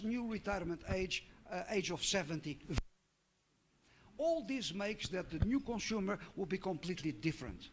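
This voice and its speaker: A middle-aged man talks through his slides at a conference. He speaks haltingly, full of "uh"s and restarts, and now and then quizzes the audience. A faint hum of room ambience sits under his voice. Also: high-pitched, a bit bright. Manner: halting, many fillers and restarts, asks the audience questions. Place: conference hall.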